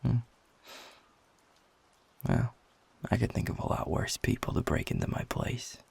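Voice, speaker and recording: A man voicing a feisty, flirtatious werewolf in an intimate audio roleplay, gruff, cocky and blunt, with a gravelly, husky voice. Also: mid-range voice, a little slow, darkish tone, full character voice. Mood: flirty, amused. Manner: swearing, teasing, informal and blunt.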